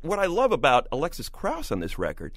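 A man's voice: a little high, wildly swooping pitch, a little fast, a bit bright, dry recording, studio quality. A man co-hosting a music show, giving an enthusiastic, emphatic album review. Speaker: music critic and show co-host. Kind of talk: lively album review discussion.

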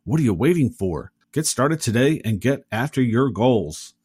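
Man, podcast host, scripted ad read, medium pitch, dry close recording. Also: very animated, moderate pace, a little mellow, breathy edge, no room sound.

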